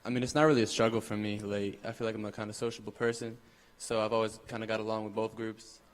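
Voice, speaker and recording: Young man, rapid flat delivery, easygoing, hall reverb. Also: lowish voice. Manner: easygoing, casual slang. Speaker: interviewee.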